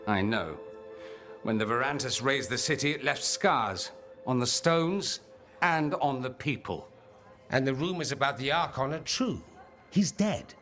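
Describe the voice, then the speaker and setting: An actor playing an urbane, sardonic rebel mage-politician in a video game, eloquent and bold, with a theatrical delivery. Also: male voice, medium pitch, lively intonation, unhurried, fairly bright tone. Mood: grave. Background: background music.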